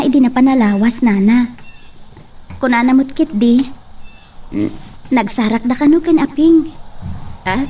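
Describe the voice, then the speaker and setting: A woman's voice: on the high side, a little mellow. A woman playing a worried mother asking after her son, in an amateur drama. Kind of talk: amateur audio drama acting. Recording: distant mic, faint noise.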